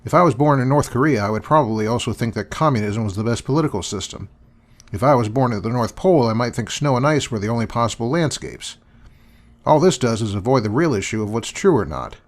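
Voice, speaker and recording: A man's voice: medium pitch, animated, quick pace. A man hosting and answering questions in a dry, sarcastic, dismissive manner. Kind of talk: sarcastic commentary.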